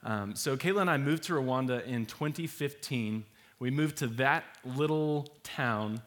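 A man's voice: medium pitch, measured pace. A young man hosting a church talk, walking through maps and photos in an easy manner with many fillers. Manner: relaxed, many fillers, laughs easily. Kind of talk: presenting with slides, casual.

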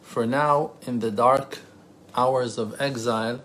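A man's voice: medium pitch, fairly even intonation, fairly bright tone, slight background noise. Israeli man giving an earnest religious lesson. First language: Hebrew. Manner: earnest, emphatic, rhetorical questions; halting, pauses and self-corrections while translating. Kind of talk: spontaneous religious teaching.

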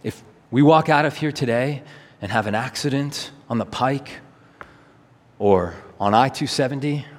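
A man's voice: mid-range voice, a little slow. A man preaching a sermon in an earnest, emphatic way, with rhetorical questions and repetition. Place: large hall, preaching to a congregation. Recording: reverberant hall.

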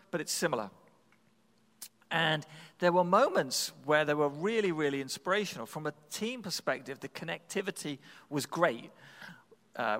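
A man's voice: a little high, fairly bright tone, in a large hall. A man preaching, telling a personal story in a conversational, self-deprecating way with frequent restarts. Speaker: pastor. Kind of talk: sermon storytelling.

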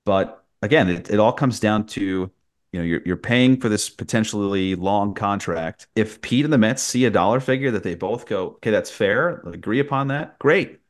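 A man's voice: fairly low pitch, normal speed. A man who works as a sports broadcaster, giving conversational sports analysis as a podcast guest.

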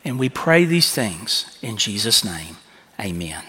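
A man's voice: on the low side, big pitch swings, measured pace, sharp tone, slightly rough. A man leading a prayer as pastor, earnest and prayerful, then introducing the next speaker. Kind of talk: congregational prayer. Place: church sanctuary. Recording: long church reverb.

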